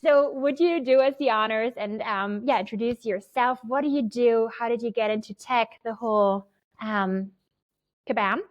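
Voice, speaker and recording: A woman's voice: a little high, normal speed, lo-fi sound. A woman hosting a live podcast, chatty and bubbly, laughing often as she talks. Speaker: podcast host. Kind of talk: casual live-stream chat.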